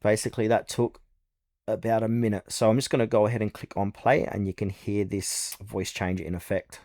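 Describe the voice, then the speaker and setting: A man narrates a casual how-to for a piece of software. His voice sits on the low side, and the recording is dry with very little room sound. He guides viewers step by step as the host. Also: level tone, a little fast, dry recording. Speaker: tutorial host.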